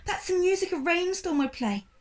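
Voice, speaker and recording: A woman's voice: very high voice, lively intonation, moderate pace, pitched above the performer's own voice. A woman voicing an eager, intense young girl in a storybook, insistent and questioning.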